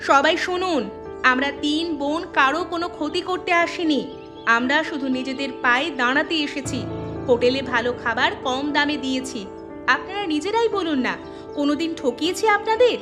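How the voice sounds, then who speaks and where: A woman's voice: sits high, normal speed, music in the background, close to an everyday voice. A woman voicing an earnest, honest and forgiving village daughter-in-law in a folk-tale cartoon. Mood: earnest appeal. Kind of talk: dramatic Bengali folk-tale cartoon dialogue.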